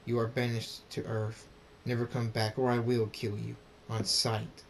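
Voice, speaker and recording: A man's voice: flat delivery, measured pace, gravelly. A man voicing a stern, vengeful winged warrior pony father in a story, grim and commanding. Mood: cold, menacing. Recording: noisy with low rumble.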